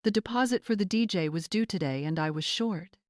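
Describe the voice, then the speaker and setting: A woman's voice: mid-range voice, brisk, no echo, clean studio sound, close to an everyday voice. Young groom, read by a woman: weary, mild, appeasing son in an audio story. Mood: relieved.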